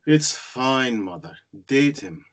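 A man acting a shy, awkward, dutiful son in a screenplay read, with a husky voice and halting delivery. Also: mid-range voice, animated. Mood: dutiful, dry. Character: Constantine, shy, awkward, dutiful young son and budding actor. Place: indoors on a group video call. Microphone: video call.

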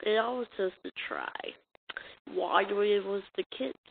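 A woman reading a comic dub as an amateur voice actor, acting out the dialogue in a halting, stumbling way on a muffled, noisy recording. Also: mid-range voice, gravelly. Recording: muffled lo-fi recording with noise and clicks.

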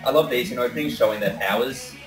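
A young man hosting a casual reaction commentary, talking haltingly and swearing now and then. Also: mid-range voice, far from the mic. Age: young adult. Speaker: reaction video host. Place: small indoor room with shelves. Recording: echoey room, off-mic, music behind.

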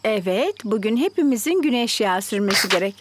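A woman voicing a kindly, patient mummy pig in a children's cartoon, gentle and motherly as she explains things. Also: medium pitch, expressive, fairly quick, background noise, natural voice. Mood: obliging. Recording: light music behind.

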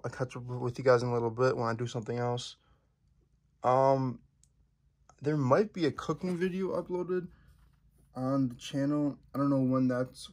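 A young man talking casually to his viewers, rambling with lots of fillers and restarts. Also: medium pitch. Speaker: vlogger. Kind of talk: casual vlog chat.

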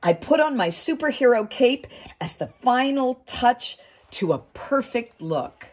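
A middle-aged woman reading a picture book aloud to children, expressively, with chatty asides and questions to her listeners. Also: on the high side. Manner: expressive, drawn-out phrasing with questions to young listeners. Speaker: community storytime reader. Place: small wood-panelled room indoors.

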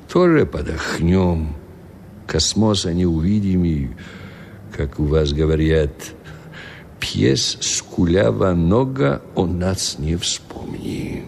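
An actor playing a bitter, taunting former Soviet space-dog keeper with a Russian accent in a radio drama. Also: male voice, low-pitched, creaky, darkish tone, slight background noise, full character voice. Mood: bleak, bitter. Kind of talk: radio drama acting, mixing Russian and Polish.

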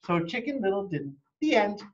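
An American man in his forties reading a children's storybook aloud with animated expression, recorded on a camera close by. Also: high-pitched, normal speed, dull audio. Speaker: storybook reader for a school.

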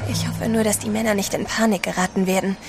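An actress playing an anxious, tender lady on an expedition in a radio play, gentle and apprehensive. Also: medium pitch, fairly even intonation, quick pace, creaky, lightly acted. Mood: concerned. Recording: noisy ship ambience effects.

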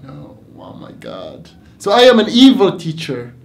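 A man in his twenties, an English teacher, doing a playful classroom dialogue in a meeting room, swinging from loud mock outrage to quiet. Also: sits high, huge pitch swings, unhurried. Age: 20s.

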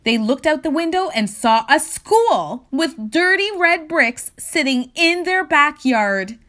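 Woman, children's read-aloud narration, animated, unhurried, expressive, theatrical emphasis. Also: high voice. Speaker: storyteller reading a children's book aloud.

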